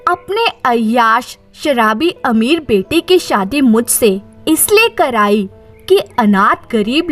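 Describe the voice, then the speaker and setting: Woman acting defiant young wife, high-pitched. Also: exaggerated voice. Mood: coldly defiant. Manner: deliberate, cutting, emphatic. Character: wronged young wife turned bold and defiant.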